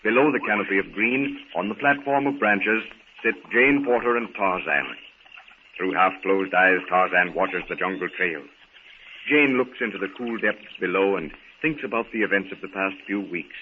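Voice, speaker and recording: A man announcing and narrating a 1930s radio serial from a script, with theatrical, deliberate, dramatic storytelling. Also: low-pitched. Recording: tinny, noisy 1930s radio recording.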